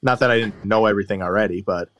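A man's voice: lowish voice, racing, fairly bright tone. A man chatting casually on a podcast, telling long, rambling, self-deprecating stories into a close mic. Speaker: golfer guest and regular of the podcast.

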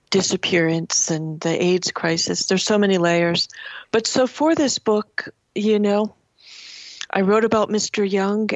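Middle-aged female author, low register, dry close sound, reflective and articulate, given to long cumulative lists. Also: no room sound, highs cut off. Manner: builds long listing phrases, articulate. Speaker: writer and interview guest. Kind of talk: reflective literary interview, reading from own memoir.

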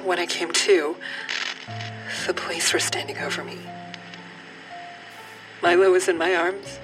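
Audio drama role: grieving mother and trauma survivor played by an actress, halting testimony, somewhat distant mic, clear music bed. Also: lowish voice, fairly even intonation, lightly acted. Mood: anguished, halting. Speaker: actor in a fiction podcast.

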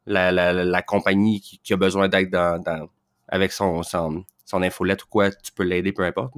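A man with a Québécois French accent hosting a podcast, asking rambling, relaxed questions with many restarts. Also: low-pitched, moderate pace, clear, resonant tone. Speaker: podcast host. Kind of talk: casual podcast interview.

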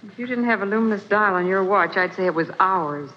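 A woman's voice: medium pitch, a little fast, lightly acted. A plucky young assistant, played by an actress, full of eager, spirited questions. Her mid-range voice sits in a dull, lightly hissy old broadcast. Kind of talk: 1940s radio drama acting.

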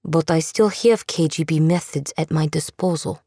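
A woman voicing a calm, guarded woman secret agent who speaks in a measured, deliberate way. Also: sits low, no room sound, studio quality.

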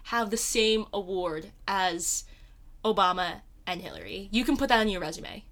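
A woman's voice: medium pitch, very animated, moderate pace, fairly bright tone. A young woman co-hosting a podcast in casual chat, with a playful, gushing and emphatic way of talking. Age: young adult. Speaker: podcast co-host.

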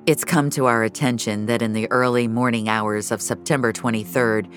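A woman's voice: extremely low pitch, fairly even intonation, normal speed, soft background music. A woman voicing a stern, accusing male boss with a dry, grave, clipped manner.

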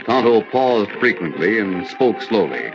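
A man's voice: fairly low pitch, big pitch swings, a little slow, over music. A man narrating a vintage radio Western in a scripted 1940s storytelling style. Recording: dull old-time radio recording.

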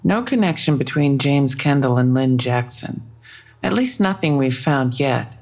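No-nonsense female detective: lively intonation, gravelly edge, businesslike audio drama delivery. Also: a bass voice, a little slow, full character voice. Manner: serious, businesslike. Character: no-nonsense police detective, serious and probing. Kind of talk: full-cast audio drama acting.

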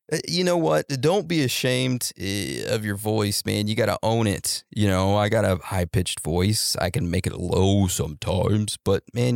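A man's voice: fairly low pitch, gravelly, no room sound, studio quality. A young man hosting a casual podcast, rambling and laughing easily as he answers listener messages. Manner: warm, rambling, laughs often. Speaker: podcast host.

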